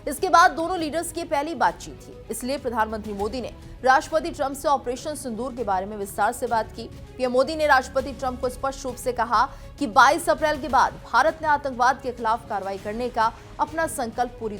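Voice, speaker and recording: A woman's voice: high voice, fairly bright tone. A woman news presenter delivering scripted television news narration.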